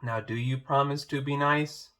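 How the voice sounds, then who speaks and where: A man's voice: medium pitch, steady tone, moderate pace, pushed and bright, natural voice. Man playing a firm, scolding little pig. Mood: bossy. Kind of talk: children's storybook read-aloud.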